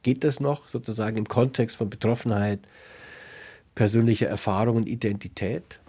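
A man with a husky voice presenting and interviewing for a cultural radio programme. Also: lowish voice, steady tone, moderate pace, dark tone.

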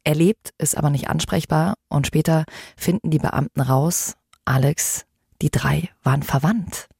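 Gravelly, low-voiced female true-crime host. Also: a little slow, dark tone, no echo, clean studio sound. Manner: addresses listeners with questions. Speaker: podcast host. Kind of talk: conversational true-crime presenting.

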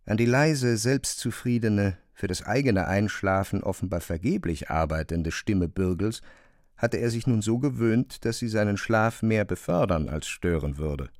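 A male narrator reads scripted literary prose for a radio drama. His pitch sits somewhat low, and the recording is dry, with no room around the voice. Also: animated, moderate pace, a little mellow, no echo.

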